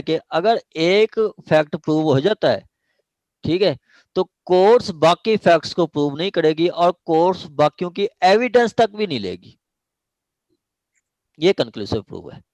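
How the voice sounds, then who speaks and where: A man's voice: high-pitched, lively intonation, moderate pace, a little mellow, no room sound. A man with a Pakistani accent lecturing an online law class, repeating points, checking understanding and mixing in English legal terms.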